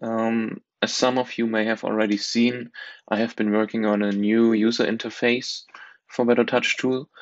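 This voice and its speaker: German-accented male developer, low flat voice, calm plain explainer with ums. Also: muffled recording. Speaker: software developer. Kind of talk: screen-recorded software walkthrough.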